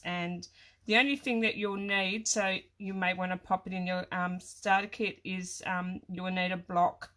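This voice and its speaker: A middle-aged Australian woman with a croaky voice from a cold, chatting casually as she hosts a craft livestream in a hesitant, rambling way. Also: on the low side, fairly bright tone. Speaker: craft demonstrator and livestream host. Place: small home craft room.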